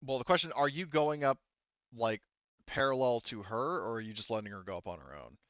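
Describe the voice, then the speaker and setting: A man acting as game master in a tabletop roleplaying game, explaining things in a casual, halting way. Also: medium pitch, lively intonation, a bit bright, no room sound, lo-fi sound.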